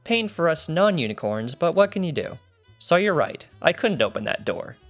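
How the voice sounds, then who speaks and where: A man voicing a skeptical pony guard in a story reading, brisk, incredulous and exasperated. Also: fairly high pitch, very animated, soft background music, voice pushed up. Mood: exasperated, explaining. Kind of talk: fan fiction character dialogue.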